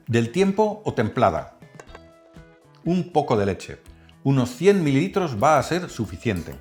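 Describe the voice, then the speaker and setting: A man from the Netherlands, hosting a cooking channel and calmly explaining a recipe step by step. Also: mid-range voice. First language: Dutch. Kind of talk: cooking tutorial voice-over.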